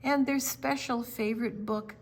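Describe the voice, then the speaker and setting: A woman reading a picture book aloud to young children, gentle, with dramatic pauses and drawn-out words. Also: on the high side, steady tone. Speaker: storytime reader.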